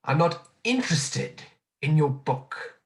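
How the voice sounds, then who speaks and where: A man's voice: on the high side, wildly swooping pitch, slow, heavily acted. Man playing pompous, swooping old miser villain. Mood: cold, scornful. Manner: pompous, contemptuous. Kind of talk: 1940s radio drama acting.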